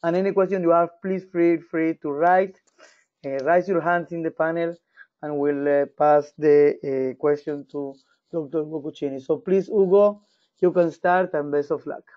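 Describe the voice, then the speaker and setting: A man's voice: fairly high pitch, steady tone, normal speed, lo-fi sound. A male moderator introducing a speaker at an online forum, stumbling through fillers. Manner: hesitant, many fillers. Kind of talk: formal introduction.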